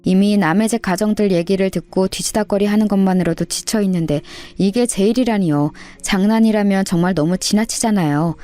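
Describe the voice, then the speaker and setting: A woman's voice: medium pitch, warm tone, no echo. A woman giving scripted first-person narration of a personal story in radio-story style. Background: music.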